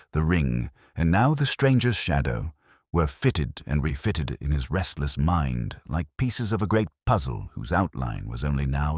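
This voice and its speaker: A man's voice: rumbling low, no room sound, clean studio sound. A man narrating a mystery audiobook in the first person, with formal, dramatic storytelling.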